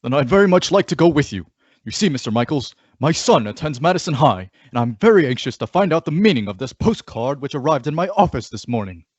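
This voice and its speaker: A man's voice: fairly high pitch, big pitch swings, fast, husky, no echo. A man playing a formal, indignant businessman father in an old-time radio comedy, with stiff manners. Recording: dull lo-fi radio drama audio.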